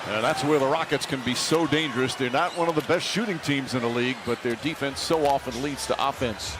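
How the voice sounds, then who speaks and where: A man's voice: medium pitch, brisk, noisy background. A man calling a basketball game live as a play-by-play announcer. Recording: broadcast audio under loud music.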